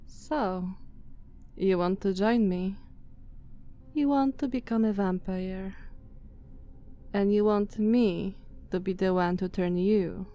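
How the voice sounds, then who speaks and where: A woman with a Slavic accent voicing a dominant, haughty female vampire master in a soft, commanding ASMR roleplay. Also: medium pitch, lightly acted. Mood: calm, imperious. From Slavic country. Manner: slow, soft, commanding, condescending.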